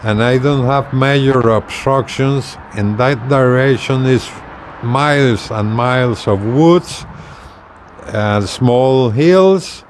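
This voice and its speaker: A man giving a casual product demonstration as a video presenter, talking haltingly and repeating words as he explains. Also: medium pitch, a little hiss or hum. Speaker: hobbyist video presenter, truck driver.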